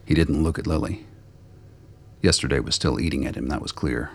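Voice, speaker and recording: Radio drama narrator: man, bottomless bass, husky, delivering scripted prose. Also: fairly quick. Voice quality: very deep, gravelly.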